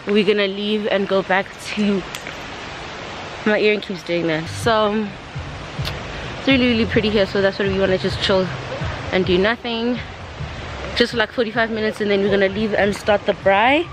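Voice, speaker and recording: A young South African woman in her twenties, chatting casually to her camera held at arm's length, bubbly and quick to laugh. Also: mid-range voice, fairly even intonation, background noise. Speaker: vlogger. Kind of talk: casual vlog chat. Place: car, then outdoor resort garden. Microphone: handheld camera at arm's length.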